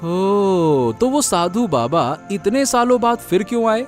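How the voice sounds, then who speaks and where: A man's voice: high-pitched, slow, faint music behind, heavily acted. A man voicing a humble, kind-hearted village vegetable seller in a cartoon story, speaking earnestly. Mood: curious, surprised. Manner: earnest, expressive. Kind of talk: scripted cartoon story acting.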